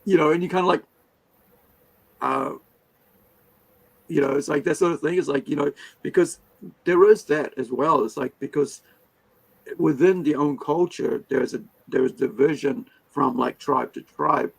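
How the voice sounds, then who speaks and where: A man's voice: fairly high pitch, a little slow, vocal fry, pauses often, low-quality recording. A man whose first language is Hindi, hosting a casual interview, talking in a rambling way full of fillers and restarts. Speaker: podcast host.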